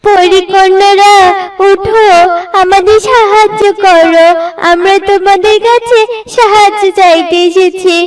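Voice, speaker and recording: A woman's voice: very high voice, steady tone, unhurried, recorded from a distance. A woman voicing a small female bird in a children's cartoon, brave and tender, with an earnest, pleading way of talking. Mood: tearful pleading.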